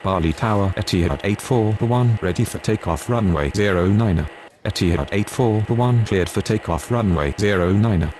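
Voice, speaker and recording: A man's voice: fairly low pitch, normal speed, darkish tone, slight background noise. A man making procedural aviation radio calls in set phraseology. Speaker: pilot and air traffic controller radio voice.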